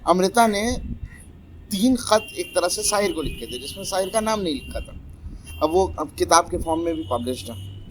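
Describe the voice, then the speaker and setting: A man's voice: high voice, lively intonation, normal speed, a little hiss or hum, rough recording. A man hosting a casual chat about poetry, telling stories with animation and teasing his guests.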